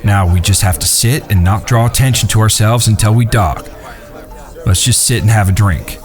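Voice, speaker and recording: A man's voice: lowish voice, normal speed, soft background music, lightly acted. A man playing a cautious, polite outlaw in disguise in a western audio drama. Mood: wary.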